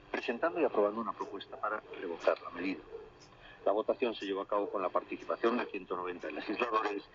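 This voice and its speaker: A man presenting a scripted radio news bulletin in a formal, measured announcer's delivery, heard over shortwave radio. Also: lowish voice. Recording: muffled shortwave radio reception, distant, crackly.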